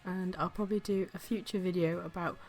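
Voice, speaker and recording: A woman, sick with the flu and audibly breathy, chatting casually and self-deprecatingly as she narrates a gardening vlog. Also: on the low side, fairly even intonation, fairly quick, darkish tone, soft background music. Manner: chatty, self-deprecating, with occasional whispered asides. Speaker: gardening vlogger.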